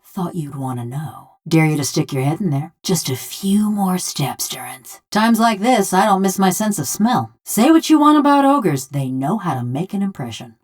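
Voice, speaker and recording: A woman's voice: low voice, a little mellow. A woman voicing a wry, vengeful female machine of brass in a video game, with a folksy, drawling American accent. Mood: dry, folksy. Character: sardonic, vengeful female construct of brass, a killer. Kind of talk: video game character voice lines.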